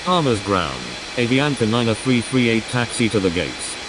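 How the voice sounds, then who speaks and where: A woman's voice: very deep voice, sing-song, normal speed. A woman playing an airline pilot in a game, giving procedural, scripted radio calls. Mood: businesslike. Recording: hissy recording over droning engine noise.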